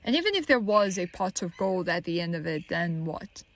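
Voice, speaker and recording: A woman playing a troubled, lonely young woman in an audio monologue, halting, wry and conversational as she muses bitterly. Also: lowish voice, sing-song. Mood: wry, musing. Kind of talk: dramatic monologue, audio drama.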